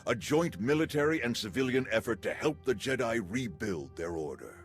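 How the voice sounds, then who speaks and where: Authoritative general, lively intonation. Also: male voice, mid-range voice, normal speed, soft background music. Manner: formal, official. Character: authoritative male army general. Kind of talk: scripted video game acting.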